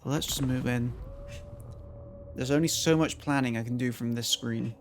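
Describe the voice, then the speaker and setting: Croaky-voiced man streaming a strategy game. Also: medium pitch, moderate pace, slight background noise. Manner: thinking aloud while planning moves. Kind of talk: casual gameplay commentary to chat.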